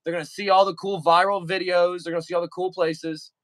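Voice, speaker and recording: A man's voice: sits high, level tone, brisk, fairly bright tone, no room sound. A young man chatting casually with viewers on a livestream, rambling and repeating himself with lots of fillers.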